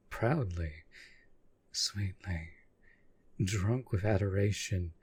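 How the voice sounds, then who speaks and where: Give the demon as a man acts him out a deep, breathy husk and a slow, deliberate delivery. He is a proud, jealous and devoted lover in an intimate audio roleplay, speaking in formal, intense phrases. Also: full character voice. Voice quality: husky, breathy.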